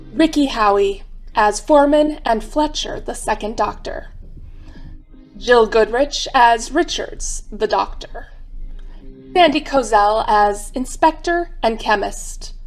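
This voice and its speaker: A woman narrating a radio mystery in a measured, formal, dramatic storytelling style. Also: on the high side, low-quality recording.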